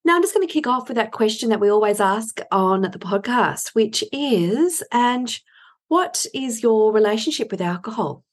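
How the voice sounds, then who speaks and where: A middle-aged woman hosting a casual podcast interview, chatty and quick to chime in with agreement. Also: a little high, expressive. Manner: chatty, frequent affirming interjections. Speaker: podcast host.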